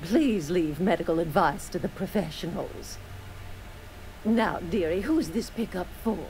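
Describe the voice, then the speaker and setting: A woman's voice: fairly low pitch, measured pace, a little mellow, slight background noise, low-quality recording. A woman voicing a sugary older auntie in a video game, a dispensary pharmacist who coos and dotes with pet names. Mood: prim, apologetic. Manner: cooing, doting, uses pet names. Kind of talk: video game character acting.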